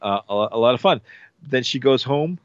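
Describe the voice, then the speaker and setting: A man casually recapping a TV episode, rambling with many fillers and animated retelling. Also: medium pitch, a little fast, no echo, highs cut off. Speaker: podcast host recapping a TV episode. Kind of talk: casual chat.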